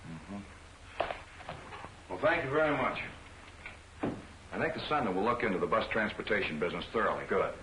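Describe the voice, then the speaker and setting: An actor playing a brisk, determined newspaper editor asking businesslike questions, in 1940s film serial style. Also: male voice, on the low side, animated, noisy background, lightly acted. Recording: roomy old film soundtrack with music.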